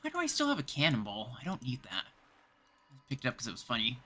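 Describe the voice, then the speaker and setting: A man's voice: mid-range voice, wildly swooping pitch, fairly quick, bright, forceful tone. A man with a Canadian accent, chatting casually as a livestream host and thinking aloud while he plays.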